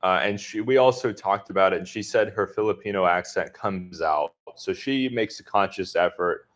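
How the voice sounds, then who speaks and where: A man from the southern US answering viewers' comments in a relaxed livestream chat, speaking with a deliberately neutral accent and many filler words. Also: low voice. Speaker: expat vlogger answering live chat. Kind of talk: casual livestream Q&A.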